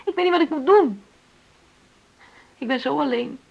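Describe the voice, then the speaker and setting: An actress in a radio drama playing an unhappy middle-aged wife who feels trapped, speaking openly and haltingly in a husky voice. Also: female voice, high-pitched, dull audio. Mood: despairing. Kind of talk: naturalistic radio drama dialogue.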